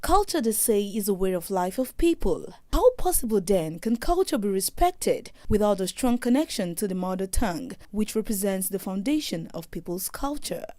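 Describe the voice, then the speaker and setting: A woman narrating a scripted news report in a measured, formal way. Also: mid-range voice, very animated, mellow, dry recording, faint music behind. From Nigeria.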